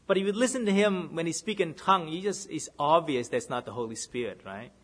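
A man with a Boston accent, preaching to a congregation as minister, often checking in with his listeners and dropping into hushed repeats for emphasis. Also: a little high, lively intonation, fairly quick. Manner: keeps checking in with listeners, asking "right?". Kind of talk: sermon to a congregation.

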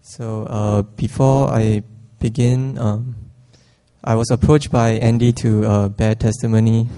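A man's voice: lowish voice, little pitch movement, a little slow. A young man from Singapore giving a prepared church testimony, hesitant and full of fillers, with a voice he says others call whiny and robotic. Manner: hesitant, many fillers, soft-spoken.

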